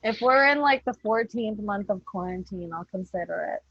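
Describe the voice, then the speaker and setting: A woman with a mid-pitched voice speaks as the host of a podcast. Her delivery is informal and breezy, like someone casually briefing a group of friends. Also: expressive, normal speed, a bit bright, breathy edge, dull audio. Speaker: podcast host organizing the cast. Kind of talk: casual chat.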